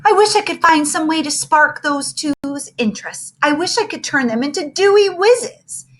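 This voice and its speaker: A prim, enthusiastic lady librarian from a children's storybook, as a woman reader plays her. Her voice is high and swoops up and down as she explains things with eager precision. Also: animated, normal speed, fairly bright tone. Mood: wistful.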